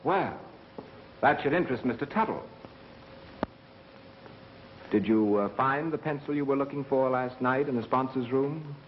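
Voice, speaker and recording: An actor with an American accent playing a calm, methodical engineer turned sleuth in a 1930s film, measured and wry. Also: male voice, medium pitch, natural voice. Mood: probing, accusing. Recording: muffled, hissy 1930s film soundtrack.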